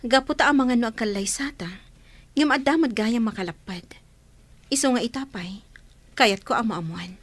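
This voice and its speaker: An actress with an Ilocano accent playing an earnest young woman in love in a radio drama, speaking deliberately and with emotion. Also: fairly high pitch, no echo, lightly acted. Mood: worried. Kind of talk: Filipino radio drama acting. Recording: faint background noise.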